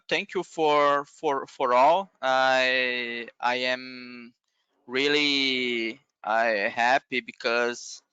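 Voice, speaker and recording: A man whose native language is Portuguese, giving a webinar talk haltingly with many filler sounds over a video call. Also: mid-range voice, bright, forceful tone, clean tone, no echo, muffled recording. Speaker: guest speaker.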